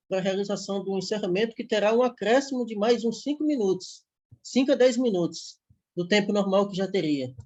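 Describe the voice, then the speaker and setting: A man announcing the schedule as conference moderator, halting with many restarts. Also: sits high, darkish tone. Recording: dull lo-fi audio.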